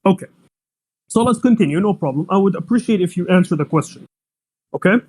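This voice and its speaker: Casting: young man, Arabic first language, high voice, pushy debater who talks over others. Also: animated, fast talker, darkish tone, rough recording. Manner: insistent, repeats demands, talks over others. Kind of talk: heated online debate.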